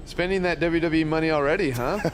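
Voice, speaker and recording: Game wrestling boss played by a man: frank, firm but supportive, rapid delivery, faint noise behind. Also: fairly high pitch, natural voice. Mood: welcoming. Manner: businesslike, frank. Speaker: wrestling show authority figure. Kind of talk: video game dialogue.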